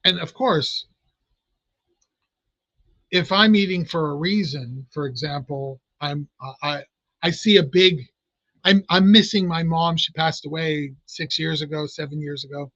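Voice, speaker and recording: Higher-voiced man explaining with restarts. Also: unhurried, a bit bright, no room sound, muffled recording. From Italian American family. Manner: explanatory, many restarts and fillers. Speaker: clinical hypnotist guest. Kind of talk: casual interview talk.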